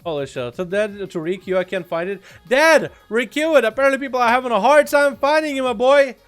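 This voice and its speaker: A man's voice: extremely high pitch, lively intonation, a little fast, a bit bright, soft background music. A young man from Russia with a slight Russian accent, streaming a game and chatting in a loose, joking way with his viewers. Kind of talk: casual livestream chat.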